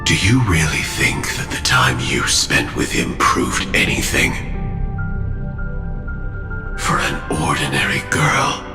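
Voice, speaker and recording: An actor playing a grim, fatalistic harbinger of death in a game, ominous and deliberate, coldly mocking. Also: male voice, very deep voice, very animated, exaggerated voice. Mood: scornful. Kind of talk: scripted dramatic game dialogue. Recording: echoey space under clear music.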